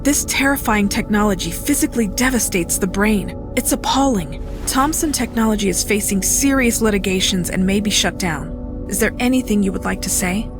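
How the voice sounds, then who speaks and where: Female actor as earnest newscaster in a video game, formal, measured, disapproving, music underneath. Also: mid-range voice, lightly acted. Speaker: news host. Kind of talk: news broadcast in video game cutscene. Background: loud music.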